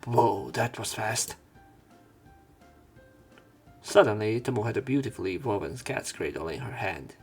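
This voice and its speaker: Male let's play narrator, low and creaky, reads game text aloud casually, faint music behind. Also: unhurried, pauses often. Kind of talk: reading a visual novel aloud with casual commentary.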